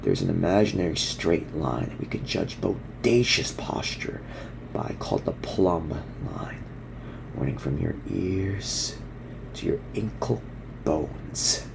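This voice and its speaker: Guy doing a chill cartoon gorilla surfer, super low half-whispered voice, soothing slang, loud background noise. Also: slow, heavily acted. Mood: laid-back, encouraging. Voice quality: gravelly, breathy, half-whispered. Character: laid-back male cartoon gorilla surfer dude instructor. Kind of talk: ASMR roleplay parody.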